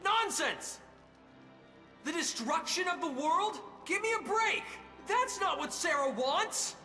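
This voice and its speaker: A man's voice: extremely high pitch, animated, slow, pushed and bright, exaggerated voice. A man playing a hot-blooded, earnest young adventurer hero in a video game, speaking emphatically. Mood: outraged. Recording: reverberant hall with game music.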